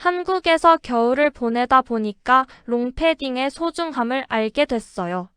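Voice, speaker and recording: A woman reading example sentences slowly and carefully for a shadowing lesson. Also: high voice, smooth, no echo, studio quality. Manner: careful, even, deliberate delivery. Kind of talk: slow, clear model-sentence reading for shadowing.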